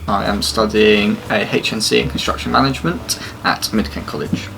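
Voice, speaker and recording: A young man with an English accent, an apprentice talking casually about his routine and studies, with a relaxed, hesitant manner. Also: lowish voice, normal speed, gravelly, noisy background. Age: young adult. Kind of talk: casual interview answers.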